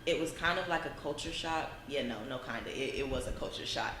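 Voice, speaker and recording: A woman's voice: rumbling low, fairly quick, a bit bright. A young woman from Chicago, a student giving proud, earnest interview answers. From Chicago, USA. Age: young adult. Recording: roomy with background noise.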